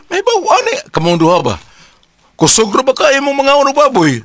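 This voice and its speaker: A man's voice: very high voice, wildly swooping pitch, fairly quick, a bit bright, no echo, full character voice. A man playing an anxious, stubborn husband in a comedy drama, exclaiming animatedly with a Cebuano accent. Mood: teasing.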